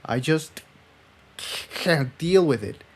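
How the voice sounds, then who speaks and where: A man voicing an earnest, troubled male pony adventurer in a quiet, serious way for a storybook reading. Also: on the high side, animated, slow pace, voice pushed up, heavily acted. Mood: troubled confession.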